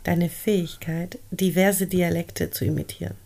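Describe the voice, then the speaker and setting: A woman co-hosting a casual podcast, teasing her partner with quick, playful retorts. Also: on the low side, steady tone, dark tone. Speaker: podcast co-host. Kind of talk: casual chat.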